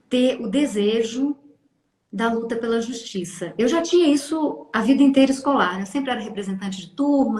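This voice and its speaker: A Brazilian woman in her fifties, a therapist telling her life story in an interview, lively and expressive, often tagging phrases with a questioning aside. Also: fairly high pitch. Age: 50s. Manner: animated, acts out quoted voices, frequent "né?". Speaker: guest, family constellation therapist. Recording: echoey room.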